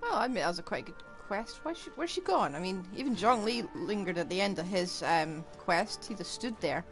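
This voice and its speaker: A woman's voice: mid-range voice, sing-song, a little fast, faint music behind. A woman giving casual live commentary on a game she is playing, with offhand, wry asides. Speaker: game streamer.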